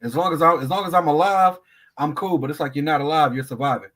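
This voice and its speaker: A man's voice: a little high, fast, a bit bright. A man in his thirties hosting a casual interview, asking questions in a candid, earnest way. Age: 30s.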